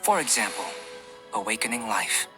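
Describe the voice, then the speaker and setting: A man playing a calm, detached young alchemist researcher in a video game, speaking in a measured, articulate way. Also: lowish voice, over music, lightly acted. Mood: calm, persuasive. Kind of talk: video game character acting.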